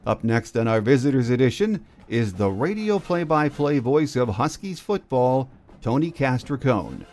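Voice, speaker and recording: An American man hosts a sports talk podcast. His voice sits at an average male pitch, and he delivers his lines as a show host addressing listeners and guests. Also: sing-song, normal speed, a little mellow, slight background noise. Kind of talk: sports talk, scripted intro and interview.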